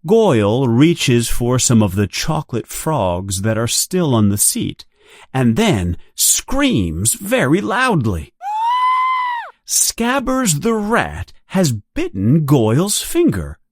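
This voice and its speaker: A man narrating a read-along audiobook story in a deliberate, expressive manner. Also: medium pitch, slight background noise.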